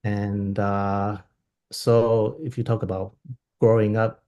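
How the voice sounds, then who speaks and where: Soft-spoken man with a lowish voice. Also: level tone, takes it slowly, lo-fi sound. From Taiwan. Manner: thoughtful, searching for words with restarts. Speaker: violinist guest. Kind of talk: video call interview. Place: home room with plain wall. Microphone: laptop webcam on video call.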